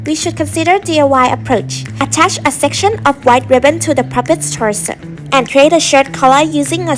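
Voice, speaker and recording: High-pitched young woman narrating over music. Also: low-quality recording. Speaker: tutorial narrator. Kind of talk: scripted craft tutorial narration. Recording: music behind the voice.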